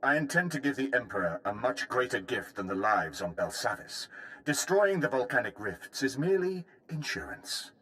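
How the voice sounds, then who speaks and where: An actor playing a crazed, smug Imperial cult leader in a video game, taunting and grandiose. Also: male voice, medium pitch, very animated, moderate pace, fairly bright tone, poor audio. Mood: smug menace. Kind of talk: dramatic video game voice acting.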